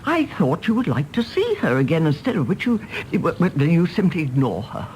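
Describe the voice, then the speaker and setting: Genteel, expressive elderly English matriarch. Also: female voice, low voice, moderate pace, a little hiss or hum, rough recording. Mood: reproachful. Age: older. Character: stern, dutiful elderly English mother and household matriarch. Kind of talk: stage play performed as broadcast drama.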